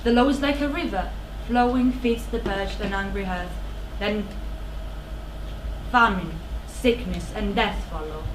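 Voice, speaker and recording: Solemn, warning chorus part for stage tragedy, female actor: declamatory, far from the mic, clear noise behind. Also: medium pitch, measured pace, lightly acted. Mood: grave warning. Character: stern, solemn chorus member warning of pride. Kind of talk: classical tragedy stage acting. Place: indoor theatre stage. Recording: echoey theatre, distant mic, noisy.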